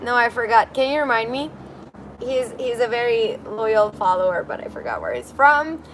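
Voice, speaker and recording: Woman host, casual and giggly, higher pitch, outdoor street noise in the background. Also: lively intonation, moderate pace, poor audio. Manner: chatty, giggly, reads comments aloud. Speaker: livestream host. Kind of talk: casual livestream chat. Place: apartment balcony by a busy street. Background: street traffic.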